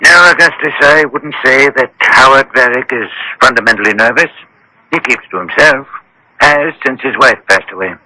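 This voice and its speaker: A sensible family doctor in a radio drama. His voice is very bright and forceful at a middling pitch, explaining in measured phrases. The broadcast sound is close and dry. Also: no room sound, poor audio. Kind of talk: 1970s-80s radio drama acting.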